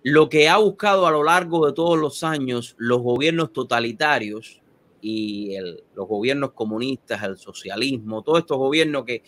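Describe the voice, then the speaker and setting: A man hosting an interview, animated and speeding up when he makes a point. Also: medium pitch. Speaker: host. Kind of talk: interview conversation.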